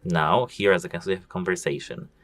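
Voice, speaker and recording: A man with a very low voice hosts a casual how-to video. He walks through each setting step by step and keeps pointing things out with "as you can see". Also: fairly even intonation, quick pace, vocal fry, a bit bright, no echo, faint music behind. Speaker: tech tutorial host. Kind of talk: casual phone how-to walkthrough.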